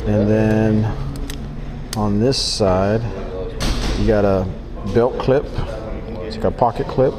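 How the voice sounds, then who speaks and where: Man musing over gear in a busy store. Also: fairly low pitch. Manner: musing to himself, exclaiming at items. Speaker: vlogger touring a shop. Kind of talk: casual handheld product walkthrough. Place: indoor retail store. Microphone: head-mounted action camera.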